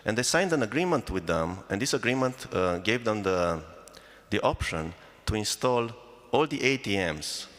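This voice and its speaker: A man's voice: fairly low pitch, animated, moderate pace, a bit bright. A man with a Romanian accent, explaining things emphatically as a panel moderator. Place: festival hall. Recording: echoey hall sound.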